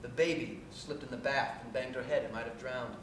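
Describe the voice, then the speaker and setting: A man gives a live dramatic reading of a first-person story on stage, in a measured, deliberate storytelling manner. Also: steady tone, a bit bright. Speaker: reader performing a short story on stage. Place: theatre stage at a live cabaret, recorded from afar. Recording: echoey distant stage recording, faint hiss and rumble.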